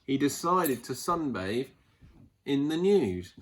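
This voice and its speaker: A man reading a picture book aloud to children, expressively, with dramatic pauses and emphasis. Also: medium pitch, sing-song. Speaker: children's storyteller.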